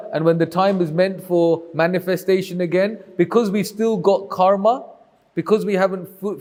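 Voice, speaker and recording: A man's voice: on the high side, a little mellow, big-room sound. A man teaching a group, answering questions with patient, reassuring explanations. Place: community hall.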